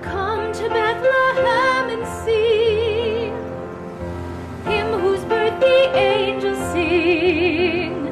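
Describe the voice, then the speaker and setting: A woman's voice: extremely high pitch, extremely slow, reverberant, over loud music. A woman singing a traditional Christmas carol. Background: loud musical accompaniment.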